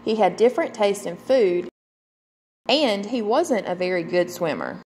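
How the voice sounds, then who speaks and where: Slow-paced woman reading a children's storybook. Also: medium pitch, very animated, a little mellow, slight background noise. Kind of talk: read-aloud picture book narration.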